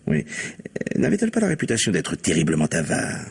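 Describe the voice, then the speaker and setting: An actor playing a sly, insistent police commissioner in a French radio mystery, probing with irony and pointedly repeating answers. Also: male voice, sits low, huge pitch swings, fast talker, gravelly, husky, heavily acted. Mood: ironic, insistent. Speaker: detective.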